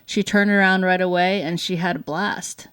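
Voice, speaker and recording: A woman's voice: on the low side, steady tone, moderate pace, fairly bright tone, smooth. A young woman chatting casually as a parent co-host of a family show. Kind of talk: casual chat.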